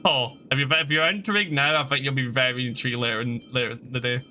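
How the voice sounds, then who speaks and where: A male streamer with a bright, punchy voice gives casual commentary. He talks informally and chattily to his viewers, over a faint layer of background noise. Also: mid-range voice, fairly even intonation, fast talker. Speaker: game streamer.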